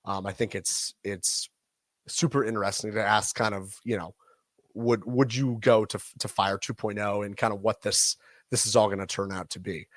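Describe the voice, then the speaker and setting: A man co-hosting a casual news podcast, thinking out loud and hedging. His voice is lowish. It is recorded close and dry, with no room sound. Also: steady tone, moderate pace, no echo. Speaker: podcast co-host.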